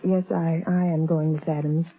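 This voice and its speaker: Husky, insistent woman investigator. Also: low-pitched, level tone. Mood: regretful, grave. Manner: insistent, deliberate. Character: earnest, persistent woman investigator breaking hard truths. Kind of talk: 1930s radio drama acting. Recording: tinny narrow-band 1930s radio recording.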